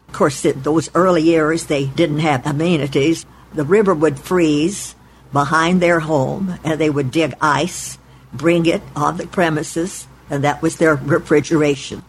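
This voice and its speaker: A woman in her eighties from Oklahoma, recalling her family's past in a measured, deliberate way in her apartment. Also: low-pitched, slight background noise, poor audio. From Oklahoma, United States. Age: 80s. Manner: measured, deliberate, spells out names letter by letter. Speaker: oral history interviewee. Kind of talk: recorded oral history recollection. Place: her apartment in a retirement home.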